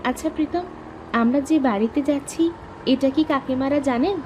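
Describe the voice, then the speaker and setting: Woman acting high-pitched bossy young woman. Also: moderate pace, darkish tone, slight background noise, close to an everyday voice. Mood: scolding. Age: young adult. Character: young woman, bossy, teasing childhood friend. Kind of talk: Bengali animated story dialogue.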